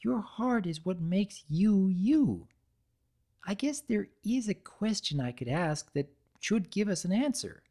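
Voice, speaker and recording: Woman as a measured, scholarly young cartoon pony. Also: medium pitch, big pitch swings, warm tone, pitched above the performer's own voice, full character voice. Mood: explaining. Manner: measured, reasoning, precise. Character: thoughtful, level-headed, scholarly young female cartoon pony.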